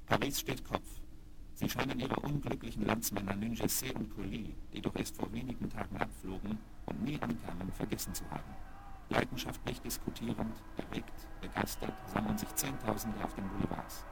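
A man's voice: on the low side, brisk, creaky. A man narrating a German children's audio drama in a scripted, dramatic documentary style. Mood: urgent, dramatic. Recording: vinyl rip with loud background noise.

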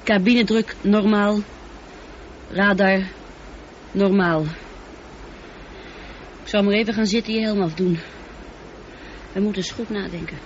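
A woman playing a calm, thoughtful female ship's computer with a precise, measured delivery and a touch of loneliness, in a radio drama. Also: mid-range voice, close to an everyday voice. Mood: clipped, urgent reporting. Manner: precise, factual, composed. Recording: dull, noisy old radio drama recording.

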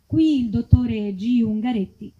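A woman delivering mock adverts and sketch narration in a deadpan, formal announcer manner on stage. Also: fairly high pitch, a little slow, slightly rough, muffled recording.

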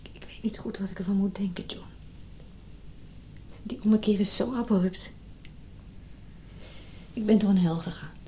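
An actress playing an anxious, doubting wife in a radio drama, hesitant and intimate, with a breathy, hushed delivery. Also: female voice, mid-range voice, fairly even intonation, normal speed, pauses often, a little hiss or hum, lightly acted. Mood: hesitant, shaken. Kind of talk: radio drama acting with inner monologue.

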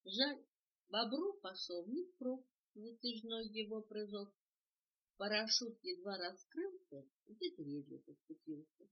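A woman's voice: medium pitch, big pitch swings, unhurried, dull audio. An older woman reading a children's verse tale aloud with theatrical, emphatic phrasing, on a home cassette recording. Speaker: storyteller reading to a grandchild.

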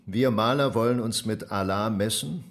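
An actor in a radio drama playing a wise master of miniature painting, measured and philosophical in manner. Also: male voice, on the low side, lightly acted. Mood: composed, probing.

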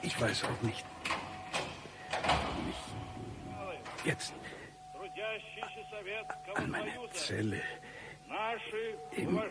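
An actor playing a shady, self-pitying man who spins absurd hard-luck stories, deadpan and mock-tragic, in a satirical radio play. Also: mid-range voice, drawn-out words, pauses often, over a noisy crowd. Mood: fading, dying.

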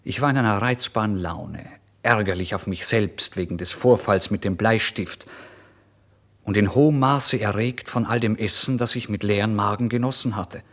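Proud, erratic, penniless writer. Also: male voice, fairly low pitch, normal speed. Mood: wondering, unsettled. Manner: theatrical, emphatic, swinging between hushed and loud. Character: impoverished hungry writer, proud, irritable, erratically impulsive. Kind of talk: literary first-person radio monologue, dramatised narration.

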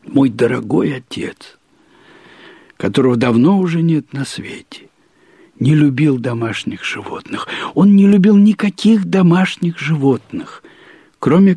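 A man reads literary prose as a storyteller, in a measured, deliberate way with pauses and emphatic repetition. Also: medium pitch, a little mellow, slightly rough, no room sound. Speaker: narrator. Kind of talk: expressive literary narration of a short story.

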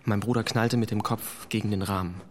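A young man playing a troubled fourteen-year-old boy, halting and earnest, in a radio play. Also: fairly low pitch, steady tone, slight background noise, lightly acted. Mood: subdued, tense. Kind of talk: German radio play acting.

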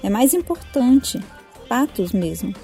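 A Brazilian woman chats casually as a podcast co-host. Her voice is soft-edged and mellow, and her manner is playfully grandiose and teasing. Faint music plays behind her. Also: a little high, big pitch swings, takes it slowly.

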